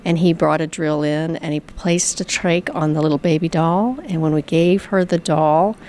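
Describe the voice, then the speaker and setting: Female interviewee, slightly low voice, plain storytelling, faint room noise. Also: fairly even intonation, moderate pace, a little mellow.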